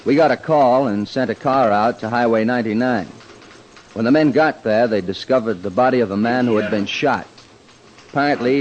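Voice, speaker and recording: An American man speaks as a police captain giving an official statement, formal and matter-of-fact in a mid-pitched voice. The vintage radio recording is dull and slightly noisy. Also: a little mellow.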